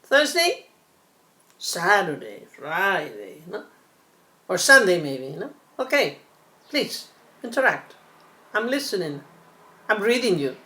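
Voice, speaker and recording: A woman from Peru teaching an online English class, slow and patient, often checking in with "no?". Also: fairly low pitch, big pitch swings, sharp tone. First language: Spanish. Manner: slow and patient, keeps asking "no?".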